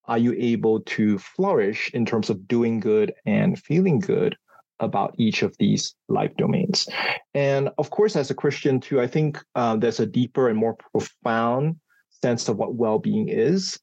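A man's voice: mid-range voice, a little slow, gravelly, a little mellow, breathy edge, no room sound, highs cut off. A man from Singapore, a psychology professor, giving thoughtful interview answers with frequent small laughs and fillers.

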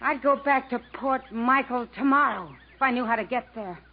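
A woman's voice: on the high side, normal speed, lightly acted. An actress playing a desperate, embittered woman stranded in the jungle, melodramatic in the manner of 1950s radio drama. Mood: bitter reproach. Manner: melodramatic, pleading, accusing. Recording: dull, noisy 1950s radio recording.

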